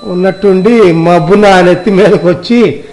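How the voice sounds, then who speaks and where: A man playing an arrogant rich village husband in a moral story, complaining and exclaiming in drawn-out phrases. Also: high-pitched, darkish tone, soft background music. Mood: sheepish explaining. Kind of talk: acted moral-story dialogue.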